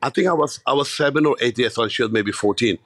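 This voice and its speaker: A Bangladeshi chef in his fifties, telling his story in an interview, animated and full of restarts. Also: mid-range voice, fast talker, no room sound, clean studio sound. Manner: animated, many restarts and repeats.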